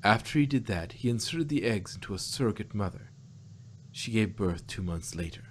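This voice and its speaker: A man voicing a solemn, ancient female pony ruler who confides secrets in a measured way, in an amateur fan-fiction reading. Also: on the low side, lively intonation, slight background noise, natural voice. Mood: confessional. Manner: measured, confessional storytelling.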